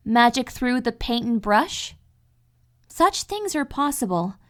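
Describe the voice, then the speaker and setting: A woman voicing a calm, caring cartoon pony mentor in an audio story, reassuring and explanatory. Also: fairly high pitch, slow pace, full character voice. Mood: thoughtful. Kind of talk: dramatic fan fiction audio reading.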